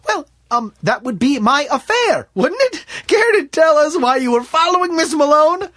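A man acts out a gentleman investigator in an audiobook story. He is formal, courteous and probing, with a stern edge. His pitch rises high and swings widely, and the sound is close and dry. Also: expressive, normal speed, husky, dry recording, heavily acted. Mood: polite but stern. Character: polite, stern, eccentric gentleman investigator Smith.

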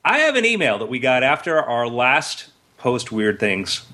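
Guy on a podcast, chatting casually, dry teasing, average pitch. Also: very animated, normal speed, bright, forceful tone. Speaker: podcast co-host. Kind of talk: casual chat.

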